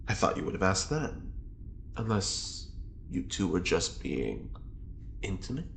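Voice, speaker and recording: Flamboyant flirty prisoner, low gravelly voice, swooping intonation, grand teasing phrases, audio drama. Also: big pitch swings, a little slow, a little hiss or hum, exaggerated voice. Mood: teasing, sly. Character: theatrical, smug, flirty long-term prisoner, chess cheat.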